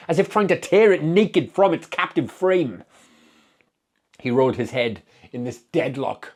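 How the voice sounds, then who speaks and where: Husky man narrating a horror story. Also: medium pitch. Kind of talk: dramatic literary audiobook narration.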